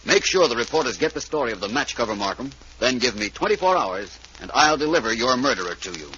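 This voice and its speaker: An actor playing a suave, wry, confident gentleman detective in a 1940s radio mystery. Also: medium pitch, animated, fast talker, close to an everyday voice. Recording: noisy, muffled old radio recording.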